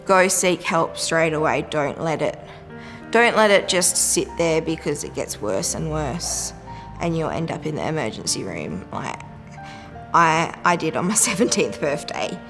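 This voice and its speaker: Deep-voiced young woman, insistent. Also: big pitch swings, a little slow, over music. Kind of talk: personal testimony interview.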